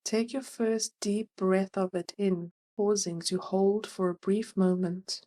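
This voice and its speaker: A woman narrating a guided meditation as its guide, gentle and soothing, leaving long pauses between phrases. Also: mid-range voice, steady tone.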